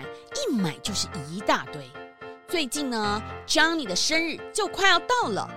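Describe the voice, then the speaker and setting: A woman narrator telling a scripted children's story in an expressive, emphatic storytelling manner. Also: fairly high pitch. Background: music under the narration.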